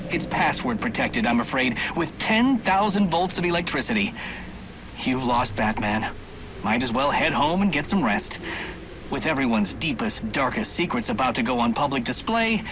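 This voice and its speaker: Smug villain over a tinny radio. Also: male voice, fairly high pitch. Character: smug intellectual male villain, condescending schemer and information broker. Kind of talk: video game voice acting. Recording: tinny band-limited comms line, music behind.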